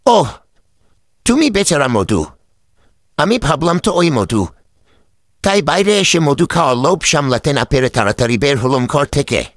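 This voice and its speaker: Casting: male actor for wily cartoon mullah, droll, raised pitch, lively intonation, repeats himself. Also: normal speed, pauses often, no room sound, clean studio sound. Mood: jovial. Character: droll, wily village wise man, a mullah. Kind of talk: animated cartoon dialogue.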